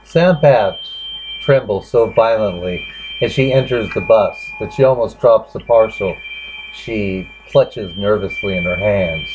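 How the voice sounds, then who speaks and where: Slow, low-voiced man reading over noise. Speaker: narrator reading a short story. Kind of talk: dramatic reading of literary fiction. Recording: dull lo-fi recording with clear background noise.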